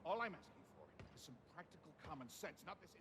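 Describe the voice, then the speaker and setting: An actor voicing a pompous, easily riled scientist, indignant and exasperated, in a video game cutscene. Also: male voice, on the high side, very animated, quick pace, a bit bright, slightly rough, exaggerated voice.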